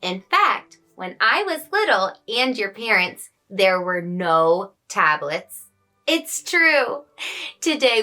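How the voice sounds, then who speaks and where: A woman hosting a story time, speaking expressively and emphatically to young children. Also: fairly high pitch. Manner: animated, emphatic, addressing children. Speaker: host reading children's stories.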